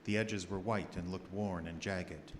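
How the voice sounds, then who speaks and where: An actor playing an earnest doctor narrating his journal in formal, measured diction in a radio horror drama. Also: low-pitched, steady tone, noisy background. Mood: grave narration. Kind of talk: old-time radio horror drama acting. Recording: live radio play under loud music.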